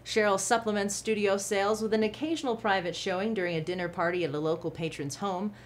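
A young woman anchoring a local TV news segment, reading a scripted feature in a clear, even newsreader delivery from a studio desk. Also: medium pitch, quick pace, slight background noise. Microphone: studio mic, seated at desk.

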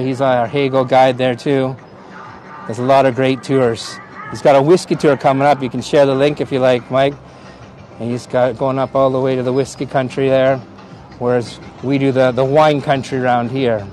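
A man's voice: mid-range voice, level tone, moderate pace, darkish tone, soft background music, poor audio. A middle-aged man from Canada with a Canadian accent, a tour guide chatting casually on a live stream outdoors, laughing often and greeting viewers by name. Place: outdoor hilltop park. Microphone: phone on gimbal at arm's length.

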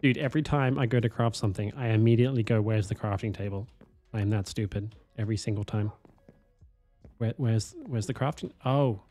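Hoarse streamer, sick and swearing. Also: male voice, on the low side, brisk, dark tone, soft background music. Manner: swearing, self-mocking, chatting to viewers. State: hoarse, losing his voice from a cold. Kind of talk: casual live-stream chatter while playing. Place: home streaming room. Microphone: headset.

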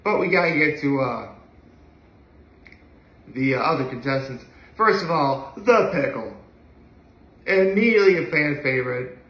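A man's voice: fairly high pitch, fairly bright tone. A young man chatting casually and enthusiastically to camera in a rambling, conversational way, recorded in a living room from a few feet away. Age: young adult. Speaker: vlogger. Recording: echoey room, mic at a distance.

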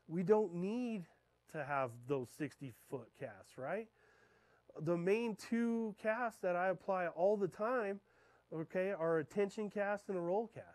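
A man in his thirties, a fishing guide, giving a talk in a darkened lecture room and checking in with his listeners with a steady "okay?". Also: on the high side, no room sound. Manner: keeps checking in with the audience with "okay?". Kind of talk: classroom talk to an audience. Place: dark lecture room beside a projector screen.

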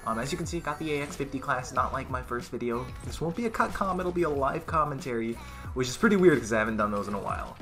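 A young man with a raspy, hoarse voice from being sick, giving casual, slangy, excitable live commentary while he plays a game at home on a headset. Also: mid-range voice, fast. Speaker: gaming YouTuber. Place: indoor room at home. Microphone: headset with webcam. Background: game gunfire and music.

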